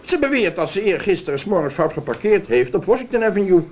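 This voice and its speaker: A man's voice: high-pitched, sing-song, quick pace, a little mellow. An actor playing a harried, exasperated police officer reporting to his chief in a comedy radio play.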